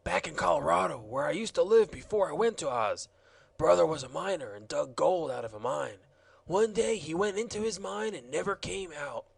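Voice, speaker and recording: Storybook role: an easygoing, shaggy wanderer, adult man, offhand and chatty, gravelly tone with lively pitch, read by a man. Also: normal speed, full character voice. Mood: rueful. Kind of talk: audiobook character voice.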